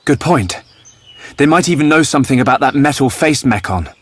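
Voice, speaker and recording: A man's voice: medium pitch, expressive, brisk, dry recording, lightly acted. A man playing an earnest, thoughtful young hero in a video game. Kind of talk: video game cutscene acting.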